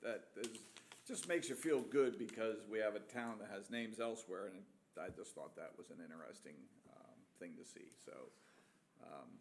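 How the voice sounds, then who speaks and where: A man's voice: lowish voice, moderate pace, slight background noise. A man, the mayor, giving a chatty, rambling report at a borough council meeting.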